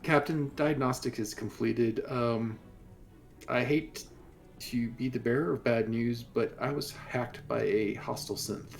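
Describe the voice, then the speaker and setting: A man roleplays an earnest male android giving a report to the captain. The voice is mid-pitched and everyday, with a halting, explanatory delivery over faint music. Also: vocal fry. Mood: apologetic, reporting. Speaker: player. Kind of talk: in-character tabletop roleplay.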